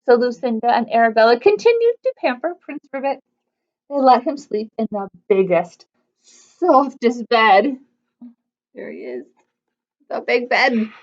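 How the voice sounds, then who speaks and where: A woman's voice: a little high, lively intonation, measured pace, highs cut off. A woman hosting a children's storytime, reading aloud expressively and chattily putting questions to young listeners. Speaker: children's library storytime host.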